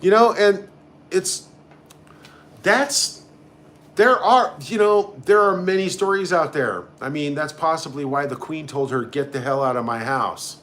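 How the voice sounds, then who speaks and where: A man's voice: on the high side, a little slow, fairly bright tone. A man hosting a casual live stream, reading out comments and reacting in a blunt, sarcastic, sweary way. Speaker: livestream host.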